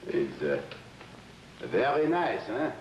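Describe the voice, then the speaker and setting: An actor playing a deferential, evasive hotel keeper in a hesitant, obsequious manner, in a period drama. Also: male voice, mid-range voice, wildly swooping pitch, drawn-out words, a little hiss or hum, heavily acted. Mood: fawning. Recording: dull old broadcast, roomy, loud music.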